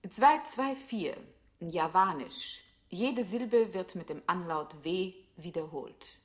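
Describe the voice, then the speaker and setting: An actress playing a terse, commanding computer control unit in an experimental radio play, giving clipped orders. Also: female voice, on the low side, slow.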